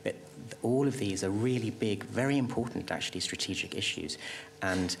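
Low-pitched middle-aged man on a panel. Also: normal speed, vocal fry. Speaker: panelist, health agency official. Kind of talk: panel discussion answer, spontaneous expert talk. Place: conference auditorium panel table. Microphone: table microphone.

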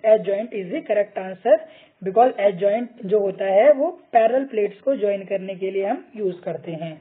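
Husky male tutor, tinny sound. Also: high-pitched. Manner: patient, reads each option aloud, mixes Hindi with English terms. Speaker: teacher. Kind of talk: explaining quiz answers over slides.

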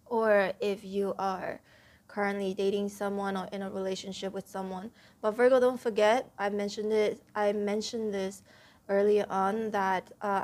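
A woman giving a casual tarot reading at a table, talking straight to her viewers, a little stuffy and clearing her throat, often checking in with "okay?". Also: mid-range voice, a little mellow. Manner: chatty, repeats "okay?" to viewers, stresses key words. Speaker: tarot reader. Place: indoors at a table, home room.

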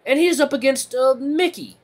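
A man voicing a timid, nervous little male rabbit making announcements in an audio story, halting and stammering. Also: very high voice, pitched above the performer's own voice. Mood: flustered.

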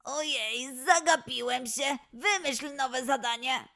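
A woman voicing a playful young male lion cub, eager and excitable, in a children's audio play. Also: high voice, slow, faint music behind, full character voice.

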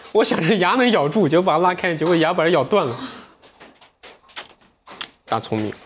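A man's voice: sits high, sing-song, normal speed, slight background noise. A man in his thirties hosting a livestream sale from a studio set. He chats with viewers in a playful, self-mocking way and sometimes drifts into reflective asides. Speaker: livestream sales host.

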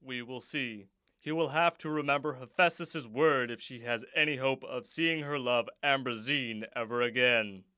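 Man as crisp, haughty underworld god. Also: medium pitch, normal speed, sharp tone, no room sound, studio quality, lightly acted. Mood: cool. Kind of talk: audio drama acting.

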